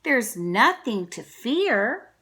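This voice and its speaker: A woman voicing friendly storybook animals who call out loudly and cheerily to coax a shy friend. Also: fairly high pitch, sing-song, very slow, full character voice. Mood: cheery, urging. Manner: cheery, calling out.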